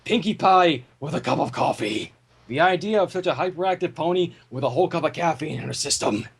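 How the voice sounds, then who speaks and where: A woman's voice: low voice, animated, brisk, gravelly, husky. A woman giving a playful, theatrical comic reading of a story, with asides to listeners. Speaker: fan fiction reader.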